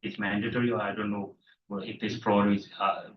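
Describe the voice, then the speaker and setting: A man from Nepal asking a halting question from the floor of a panel, with many fillers and restarts. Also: lowish voice, level tone, a little hiss or hum. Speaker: audience member asking a question. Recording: roomy hall sound from far off, dull.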